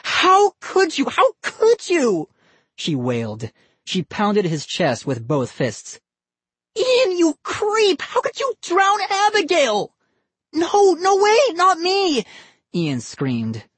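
A man's voice: medium pitch, lively intonation, slow pace, darkish tone, slightly rough, no room sound. A man voicing a furious young girl who screams and wails in a storybook reading. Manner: screaming, wailing.

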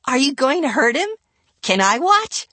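Narrator putting on a high-pitched little girl. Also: big pitch swings, normal speed, bright, forceful tone, no room sound, full character voice. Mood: eager. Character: eager, curious eight-year-old little sister.

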